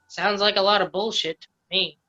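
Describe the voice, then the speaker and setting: Woman as a blunt board member; bright voice on muffled audio. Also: mid-range voice, fairly even intonation, moderate pace, lightly acted. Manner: blunt, casual. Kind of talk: staged play reading over video call. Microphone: video call.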